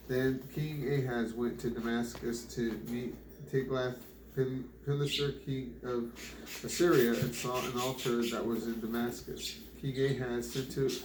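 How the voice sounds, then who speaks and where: A man reads scripture aloud in a halting way, stumbling over words and repeating them. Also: mid-range voice. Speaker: Bible reader. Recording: echoey room with clear background noise.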